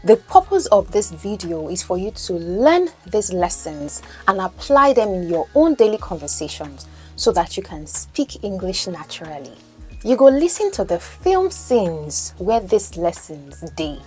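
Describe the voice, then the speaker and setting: A woman's voice: fairly low pitch, big pitch swings, unhurried, a little mellow, faint music behind. A Nigerian woman whose first language is Yoruba, teaching English as a coach and podcast host, explaining and asking the listener questions. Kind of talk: teaching lesson, mixing in Pidgin.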